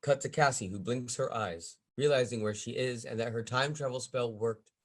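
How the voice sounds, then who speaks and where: A man's voice: medium pitch, normal speed. A man reading stage directions as the narrator of a script table read.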